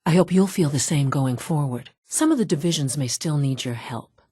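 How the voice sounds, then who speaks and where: An actress voicing a poised, businesslike woman scientist in a video game, speaking in a measured, formal, matter-of-fact way. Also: sits low, lively intonation, dark tone, slightly rough, no echo, clean studio sound. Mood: brisk, businesslike. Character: poised, businesslike middle-aged woman scientist running an underground facility. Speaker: video game character, facilities division head. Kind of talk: scripted video game dialogue.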